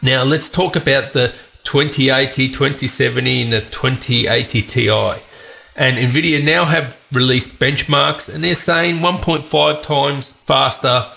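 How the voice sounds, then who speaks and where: Congested, gravelly male tech host. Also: medium pitch, measured pace, sharp tone. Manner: chatty, opinionated, rambling asides. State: has a cold, congested voice. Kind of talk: casual tech news commentary.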